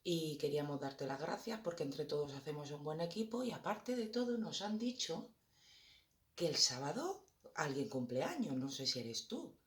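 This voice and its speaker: A man's voice: on the high side, lively intonation, moderate pace. A man with an accent from Spain giving a casual greeting of thanks as a volunteer. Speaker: volunteer mask distributor.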